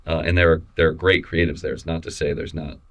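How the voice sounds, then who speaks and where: A man with a very deep, low-pitched voice talks in a relaxed, casual way. He often trails off, repeats words and restarts his sentences while he chats in an interview. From Missouri, USA. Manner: relaxed, halting, many restarts and fillers. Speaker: photographer guest.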